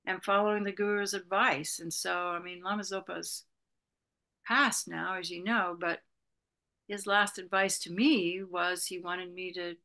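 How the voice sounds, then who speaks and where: A woman's voice: fairly low pitch, steady tone, a little slow, bright, forceful tone. A woman in her sixties from California, a Buddhist retreat leader talking reflectively as an interview guest, rambling with many "you know"s. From Berkeley, California, USA. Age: 60s. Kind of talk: reflective casual conversation.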